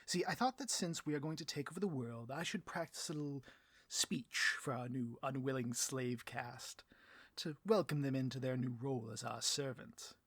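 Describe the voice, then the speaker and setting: Supervillain boss for comedy audio roleplay, male actor: hammy, petty, forceful and husky, very dry close recording. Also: mid-range voice, moderate pace, no echo. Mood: self-important. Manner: pompous, melodramatic, given to rants.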